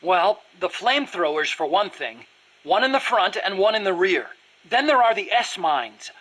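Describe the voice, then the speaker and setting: A man's voice: high voice, animated, measured pace, pushed and bright, heavily acted, low-quality recording. A man playing an earnest, nerdy American weapons engineer in a video game, eager to explain things in precise detail. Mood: instructive. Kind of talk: video game dialogue acting.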